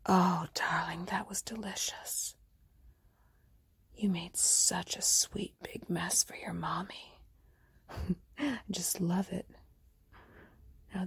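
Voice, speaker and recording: A woman whispering softly in a slow, doting and coaxing intimate roleplay. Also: on the low side, dry recording. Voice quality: soft breathy whisper. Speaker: roleplay performer.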